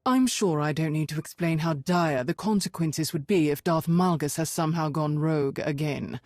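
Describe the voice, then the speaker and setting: An actor playing a stern, duty-bound Sith lord in a video game, formal, brisk and authoritative. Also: mid-range voice, darkish tone, no echo, clean studio sound, lightly acted. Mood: stern resolve.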